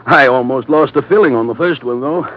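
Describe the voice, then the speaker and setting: Unflappable, genteel radio gentleman, played by a man. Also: medium pitch, brisk, lightly acted. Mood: mildly bemused. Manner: polite, hesitant, mildly bemused. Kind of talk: 1950s radio comedy-drama acting. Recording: dull 1950s radio recording.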